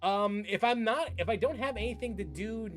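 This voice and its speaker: A man giving casual live stream commentary as a game streamer, halting and repeating his phrases. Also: high voice, soft background music.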